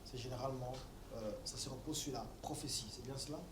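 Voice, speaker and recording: A male interviewer asking a pastor quick, stumbling questions. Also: medium pitch, fairly even intonation. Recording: echoey room, off-mic, noisy.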